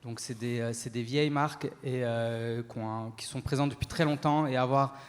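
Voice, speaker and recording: Male entrepreneur as event guest, halting delivery, long hall reverb. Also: medium pitch, steady tone. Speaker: entrepreneur being interviewed on stage. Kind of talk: spoken testimony at a business talk event.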